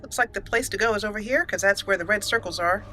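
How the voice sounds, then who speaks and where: A woman's voice: mid-range voice, quick pace, pushed and bright, a little hiss or hum. A woman hosting a let's play, chatting casually and self-mockingly as she plays, often giggling. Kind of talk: casual gameplay commentary. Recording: faint game music behind. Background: game music.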